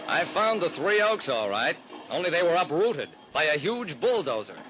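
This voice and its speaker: A man's voice: fairly high pitch, big pitch swings, normal speed, slight background noise. An actor playing a private detective who narrates his own cases in wry, hard-boiled first person, in 1940s radio drama style, on a dull lo-fi old recording.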